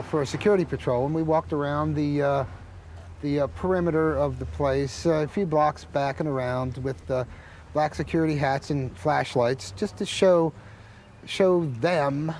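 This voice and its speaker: A man's voice: fairly high pitch, a little mellow, slight background noise. A man from an artist community, talking hesitantly with many fillers in a documentary interview.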